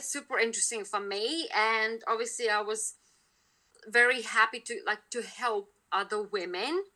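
Bright-voiced Lithuanian-accented woman. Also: on the high side. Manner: chatty, many fillers and restarts. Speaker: podcast guest, nutritionist. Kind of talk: casual interview talk.

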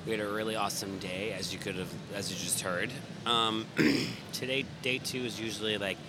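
Young man, low voice, casual vlog chatter, rambling, noisy convention background. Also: steady tone, moderate pace. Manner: chatty, rambling, self-amused. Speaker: vlogger and event reporter. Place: busy fan convention hall.

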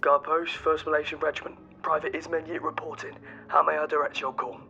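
An actor playing a devoted, tender soldier in an audio drama, heard through a phone-call filter. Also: male voice, fairly high pitch, little pitch movement, vocal fry. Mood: brisk, official. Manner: sighing, tender, halting. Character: devoted male soldier, gently adoring partner. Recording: dull lo-fi call, faint noise.

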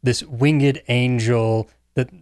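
A man's voice: mid-range voice, fairly even intonation, slow, darkish tone, no echo, clean studio sound. A man speaking as a podcast panelist in a casual film discussion, earnest and explanatory.

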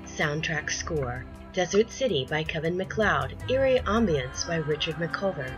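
A woman's voice: deep voice, lively intonation, unhurried, over music. A woman announcer giving a scripted reading of credits for an audio drama.

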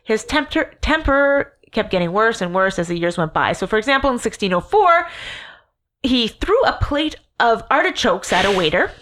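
A woman's voice: on the high side, sing-song, moderate pace, a bit bright. A woman, co-hosting a podcast and telling a story in a rambling, joking way with casual swearing. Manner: rambling, jokey, swears and laughs. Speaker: podcast co-host.

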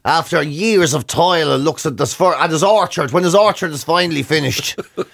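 An Irish man co-hosting with casual comic banter, telling things in an animated, exaggerated way. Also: a little high, moderate pace, creaky, no room sound. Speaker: radio and podcast co-host.

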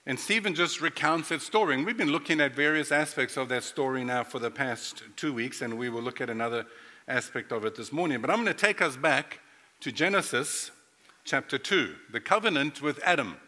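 A man's voice: mid-range voice, fairly quick, bright, forceful tone, big-room sound. A middle-aged man from Africa, preaching a playful sermon that speaks directly to his congregation in a church sanctuary. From South Africa. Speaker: pastor.

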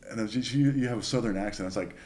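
Young guy with a really low voice, chatty, rambling stories on a podcast. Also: very fast, a little mellow. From Northern California. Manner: chatty storyteller. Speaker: podcast guest. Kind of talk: casual chat.

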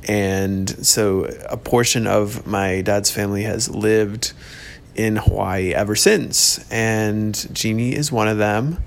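A man's voice: medium pitch, vocal fry. A man hosting a podcast, introducing and questioning a guest in a conversational style. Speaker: podcast host.

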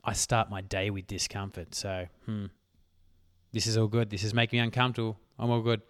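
A man hosting a solo motivational podcast, talking emphatically and swearing casually, his voice worn hoarse. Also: fairly low pitch, level tone, fairly quick, dry recording. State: losing his voice. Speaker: podcast host.

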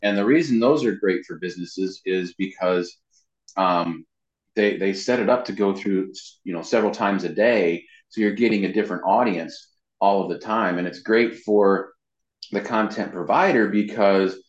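A man's voice: low-pitched, normal speed. An American man in his fifties chatting casually as an interview guest, rambling a little with self-deprecating humour. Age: 50s. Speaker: interview guest, web marketing professional. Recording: muffled lo-fi sound in echoey room.

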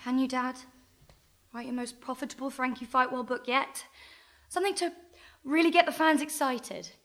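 An actress playing a grown daughter in debt in a radio play, talking softly and pleadingly to her father. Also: high voice, fairly even intonation, normal speed. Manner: pleading, soft, wheedling.

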